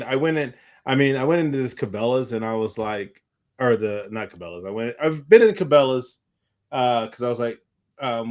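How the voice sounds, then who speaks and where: A tired man hosting a podcast, chatting casually in a rambling way and often backtracking mid-sentence. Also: mid-range voice. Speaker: podcast host. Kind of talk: casual chat.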